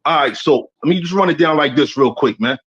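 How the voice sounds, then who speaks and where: A man's voice: on the high side, animated, fairly quick, fairly bright tone, breathy edge, muffled recording. A man calling in to a live stream, arguing brashly, talking over the other person and repeating himself. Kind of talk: heated call-in debate.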